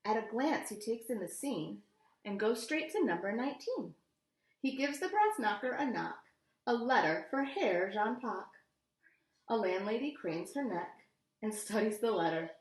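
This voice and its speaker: Animated American woman reading to kids, echoey room. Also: on the high side, unhurried. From United States. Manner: animated, addressing young listeners. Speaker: children's story reader. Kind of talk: expressive picture book read-aloud with casual asides.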